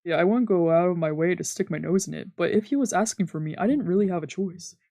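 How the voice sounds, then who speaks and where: Slightly low-voiced woman reading game narration. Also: fast, warm tone, no room sound. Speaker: streamer reading a visual novel aloud. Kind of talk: scripted first-person narration read aloud. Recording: music behind the voice.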